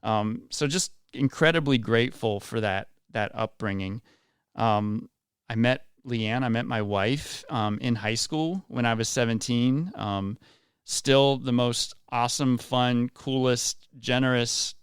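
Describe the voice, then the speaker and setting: A man's voice: medium pitch, measured pace, smooth, dry recording, clean studio sound. A man around forty from West Virginia, a community leader speaking earnestly and effusively as a guest in a radio interview. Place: radio studio.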